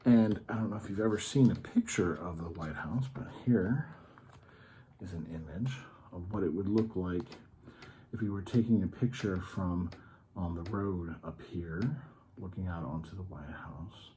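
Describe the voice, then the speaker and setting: Low, mellow man explaining things simply. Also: moderate pace, slight background noise. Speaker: story time host reading to children. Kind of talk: informal educational read-aloud and show-and-tell.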